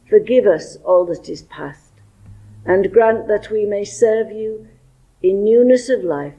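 Older lady reading church prayers, slow and mellow, bit raspy. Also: medium pitch, lo-fi sound. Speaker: church service leader. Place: church interior.

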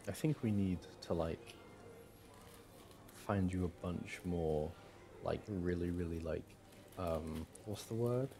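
A man acting as a doting, smitten young boyfriend on a shopping date in an audio roleplay, speaking in a hesitant, halting, affectionate way. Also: sits low, dark tone. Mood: fond, playful. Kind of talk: boyfriend ASMR roleplay, acted one-sided conversation.

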